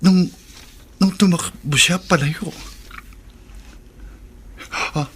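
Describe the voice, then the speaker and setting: A man's voice: sits high, very slow, fairly bright tone, slightly rough, heavily acted. A man acting an agitated, insistent character with an urgent, dramatic delivery in a Filipino horror radio drama. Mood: puzzled. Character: agitated, insistent young man haunted by a cobra woman.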